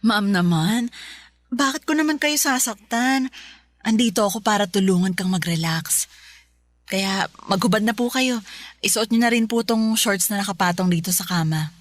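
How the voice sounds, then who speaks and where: A woman's voice: mid-range voice, normal speed, no echo, natural voice. Polite, caring Filipino masseuse, acted by a woman, close and dry. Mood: coaxing. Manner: deferential, chatty, reassuring. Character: polite, caring young female spa masseuse. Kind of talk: Filipino radio drama dialogue.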